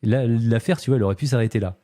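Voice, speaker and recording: A man's voice: mid-range voice, racing, dark tone, no echo, studio quality. A man hosting a podcast, telling a true-crime story casually, with many fillers and chatty asides to his co-host.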